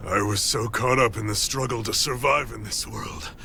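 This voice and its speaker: An actor playing a weary, regretful captive rebel in a video game cutscene, somber and halting, with a gravelly voice. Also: male voice, on the low side, bright, forceful tone, a little hiss or hum. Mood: weary, remorseful.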